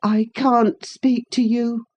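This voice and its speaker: Woman acting a whining, sickly, spiteful boy, pitched up. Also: level tone, takes it slowly, darkish tone. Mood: self-pitying whine. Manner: whining, pettish. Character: peevish, sickly, spiteful, self-pitying boy.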